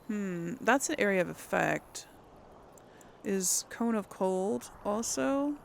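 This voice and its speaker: A woman's voice: medium pitch, animated, takes it slowly, vocal fry, a little hiss or hum. A woman giving casual gaming commentary, thinking aloud in a hesitant, musing way and often trailing off. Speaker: let's player hosting a role-play playthrough.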